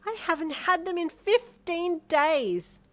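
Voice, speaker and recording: A woman's voice: very high-pitched, big pitch swings, takes it slowly, a bit bright, voice pushed up, heavily acted. A woman voicing a sassy, sweet and proud four-year-old girl in an acted audio story. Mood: excited.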